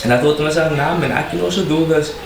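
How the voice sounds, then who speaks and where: A man's voice: fairly high pitch, fairly even intonation, fast talker, vocal fry. A young man from South Africa with a Cape Town accent, a rapper talking casually as a podcast guest, slangy and laughing often. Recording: echoey room with noise.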